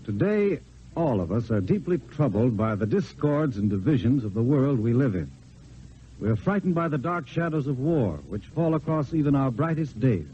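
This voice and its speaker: A man giving an earnest, measured, scripted inspirational address on vintage radio. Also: mid-range voice, very animated. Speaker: spokesman delivering a church message. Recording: dull vintage radio recording, faint hiss.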